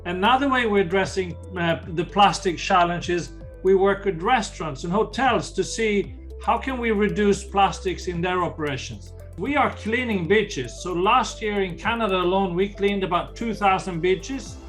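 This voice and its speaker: A man's voice: high-pitched, normal speed, few pauses, fairly bright tone, faint music behind. An older man with a Swedish accent, earnestly explaining ocean conservation in an interview and often restarting phrases. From Sweden. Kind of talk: interview answers.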